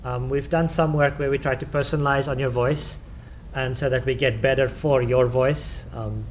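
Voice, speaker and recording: A man with a South African accent answers questions at a conference talk. He is halting, full of "um"s and self-corrections, at an everyday mid pitch. A faint hum of the room runs underneath. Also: steady tone. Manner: hesitant, many "um"s and restarts.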